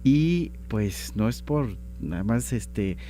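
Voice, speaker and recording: A man's voice: lowish voice, lively intonation, slow, warm tone, slight background noise. A Mexican man hosting a conversational radio interview, feeling his way toward words with hesitant phrasing. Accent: Mexican Spanish.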